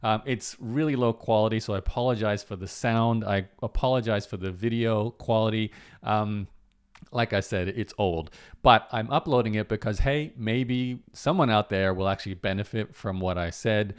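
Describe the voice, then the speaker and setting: A man from Australia with a Canadian accent, talking casually to camera as host of his channel in a relaxed, rambling way with frequent fillers. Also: on the low side, moderate pace, clear, resonant tone. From Brisbane, Australia. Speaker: online entrepreneur and channel host.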